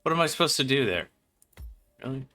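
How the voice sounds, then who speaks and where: A man's voice: mid-range voice, sing-song, fairly quick, bright, forceful tone. A man livestreaming a video game with casual, sweary, slangy chat and reactions. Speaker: livestream gamer host.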